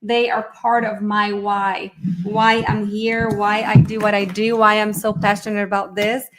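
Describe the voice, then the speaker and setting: A woman's voice: medium pitch, level tone, a little slow, background noise. Chatty woman from Brazil presenting online. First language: Portuguese. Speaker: course instructor and webinar host. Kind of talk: informal live online presentation.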